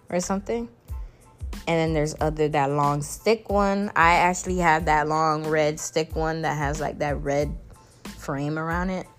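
A young woman with a Caribbean accent speaks in a fairly low, soothing voice. She chats casually and playfully, laughing often and leaping up in pitch for emphasis. Soft music plays faintly behind her. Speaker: podcast host. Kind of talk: casual advice chat to listeners.

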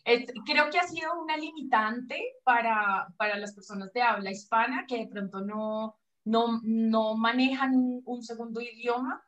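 A woman's voice: a little high, measured pace, hardly any pauses, fairly bright tone, highs cut off. A woman from Colombia being interviewed over a video call, explaining in a hesitant way with many fillers and restarts. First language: Spanish. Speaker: pelvic floor physiotherapist, guest. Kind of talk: online interview.

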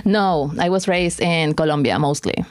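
Guest: woman in her twenties, lowish voice, halting as she hunts for English words. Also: animated. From Chile. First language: Spanish. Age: 20s. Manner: hesitant, searching for words, laughs easily. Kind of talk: casual interview chat.

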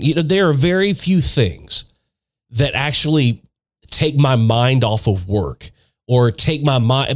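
A man hosting his own podcast in a chatty, upbeat way, talking through a stuffed-up, nasal voice from allergies. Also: medium pitch. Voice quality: congested, nasal. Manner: chatty, upbeat, with frequent restarts. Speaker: podcast host. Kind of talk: casual solo podcast talk.

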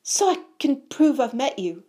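A woman voicing an eager, starstruck young schoolboy with an excited, gushing manner, in a storybook narration. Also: sits high, unhurried, voice pushed up. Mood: breathless excitement. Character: eager, starstruck small schoolboy fan, breathless and chatty.

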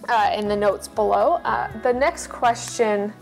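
A woman talks as a guest on a podcast, giving informal explanations and advice. Her pitch is average for a woman, with soft music in the background. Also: measured pace, creaky, rough recording.

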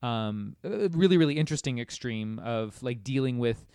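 A man's voice: mid-range voice, lively intonation, moderate pace, a little mellow, clear, resonant tone, no room sound, clean studio sound. A man chatting informally and musing about a video game as a podcast guest. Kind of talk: casual chat, discussing a video game.

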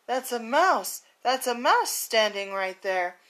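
A woman's voice: fairly high pitch, sing-song, slow, a bit bright, full character voice. A woman voicing a fretful storybook elephant. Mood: frightened. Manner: apologetic, fussy rhyming speech. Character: sorry, sneezy male elephant.

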